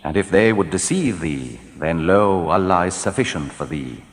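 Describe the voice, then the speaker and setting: Low-voiced man solemnly reading scripture, expressive pitch. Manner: measured, declamatory, with falling phrase endings. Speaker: reader of scripture translation. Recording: long hall reverb.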